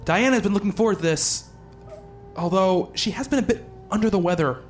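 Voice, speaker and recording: An actor playing an earnest, devoted boyfriend who narrates a horror radio play in measured, formal, literary language. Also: male voice, high voice, lightly acted. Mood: concerned, reassuring. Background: music.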